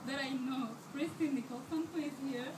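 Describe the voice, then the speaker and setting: Young woman from rwanda giving a speech, highish voice, kinda far from the mic, echoey hall, noisy. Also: normal speed. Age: 20s. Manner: earnest, with frequent fillers and restarts. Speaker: scholarship student giving a speech. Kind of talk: prepared speech at a fundraiser. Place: event hall. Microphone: handheld microphone held too far away. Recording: echoey hall, distant mic, background noise.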